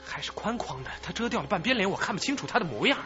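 Animated, eager police investigator. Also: male voice, high voice, very fast, highs cut off, faint music behind, full character voice. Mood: tense, excited. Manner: excitable, reports in short bursts. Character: eager male police investigator scanning surveillance footage. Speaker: actor in a radio drama. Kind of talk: Chinese police radio drama acting.

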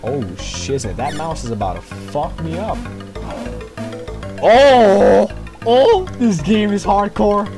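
Excitable, swooping young male gamer. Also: high-pitched, takes it slowly. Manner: profane, slangy, excitable, talking to himself. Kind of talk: casual gameplay commentary. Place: at home at night. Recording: music behind the voice. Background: music.

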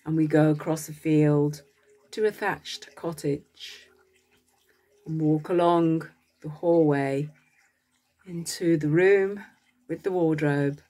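A woman narrating a guided meditation as a coach, speaking gently in short phrases with long pauses between them. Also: sits low.

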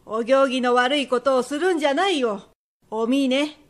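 A woman plays a proud Edo shopkeeper's wife in a radio drama. She has a high voice and a firm, matronly, old-fashioned way of speaking, stern to the core. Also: moderate pace, close to an everyday voice. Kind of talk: period radio drama acting.

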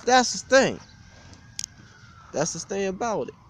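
Young male vlogger, higher voice with lively swings, blunt aggrieved rant, shot outdoors. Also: a little slow, a little hiss or hum. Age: young adult. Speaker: gym vlogger. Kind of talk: informal opinionated rant to camera. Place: outdoors beside a brick building on a street. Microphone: phone or webcam at arm's length.

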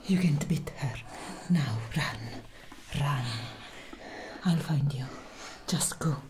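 A woman voicing an ancient, grave and protective elder vampire sister in a breathy, dramatic whisper for an ASMR roleplay. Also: sits low, background noise. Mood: urgent. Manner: slow, dramatic, intense delivery. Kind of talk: soft-spoken ASMR roleplay.

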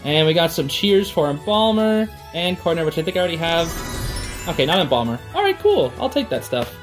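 Animated young reaction host with swooping pitch. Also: fairly quick. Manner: gushing, slangy, exclaims and gasps. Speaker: host of a gaming reaction channel. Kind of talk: casual excited gameplay and reaction commentary. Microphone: home recording on a makeshift travel setup. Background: music.

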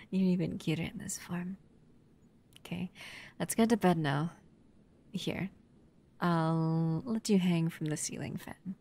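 A woman playing a protective, upset human lover in an ASMR roleplay, stern yet often softly whispery. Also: on the low side, slow, long pauses. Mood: soft, affectionate. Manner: soft, breathy near-whispers mixed with stern scolding.

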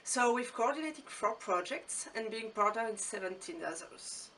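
Middle-aged woman, crisp bright tone, formal intro, mic a bit far. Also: on the high side, moderate pace, slight background noise. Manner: formal, steady. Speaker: deputy director. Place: indoors.

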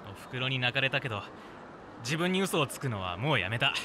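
An actor playing a cocky, easygoing young hairdresser in an audio drama, talking in casual, rough masculine slang. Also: male voice, mid-range voice, sing-song, a little fast, noisy background, natural voice. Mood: frank, resolute. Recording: music behind the voice. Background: music.

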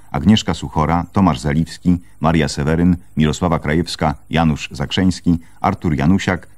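Very deep-voiced male radio announcer. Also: a little mellow. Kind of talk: formal scripted credits reading.

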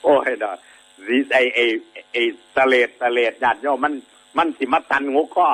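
A man's voice: mid-range voice, moderate pace, sharp tone, poor audio. A man with an Isan Thai accent, a monk telling a moral fable to lay listeners in a rambling, folksy way, full of filler sounds and frequent checks that the audience agrees. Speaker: Buddhist monk giving a dharma talk.

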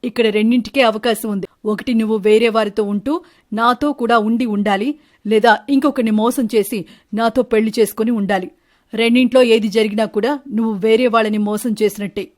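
A woman's voice: a little high, steady tone, fairly quick, a little mellow, close to an everyday voice. A woman voicing suspicious, wronged male birds in a cartoon story, questioning and confrontational. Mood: accusing. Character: suspicious, wronged male bird husbands and lovers, accusing. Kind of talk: animated Telugu moral-story dialogue.

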